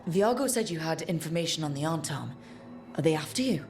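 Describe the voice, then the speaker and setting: A woman's voice: deep voice, animated, brisk, a little mellow. A woman playing a composed, practical adventurer hero in a video game, speaking in a matter-of-fact, polite way. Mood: businesslike. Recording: music behind.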